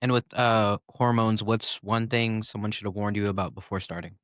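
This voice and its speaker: A man's voice: on the low side, fairly even intonation, moderate pace, a bit bright, no room sound, studio quality. A man hosting a podcast, asking questions hesitantly with many fillers. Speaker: podcast host. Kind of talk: casual interview questions.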